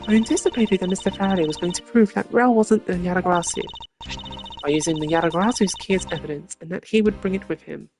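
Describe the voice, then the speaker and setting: A woman voicing a gloating female thief and murderer in a video game, with a mocking, theatrical, drawn-out delivery. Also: fairly low pitch, music in the background, lightly acted. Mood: smug. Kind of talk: game character voice acting.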